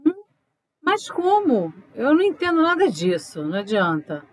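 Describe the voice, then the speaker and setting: A woman's voice: sits high, wildly swooping pitch, normal speed, heavily acted. A woman playing a concerned, questioning love interest in an audio drama, coaxing and inquisitive. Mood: bewildered.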